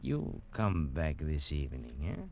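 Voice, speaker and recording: An actor playing a courteous, sharp old Peruvian scholar in a 1940s radio drama. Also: male voice, rumbling low, big pitch swings, creaky, lo-fi sound, heavily acted. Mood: intrigued, sly. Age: older. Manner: courteous, savouring, deliberate phrasing. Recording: old radio recording, faint noise.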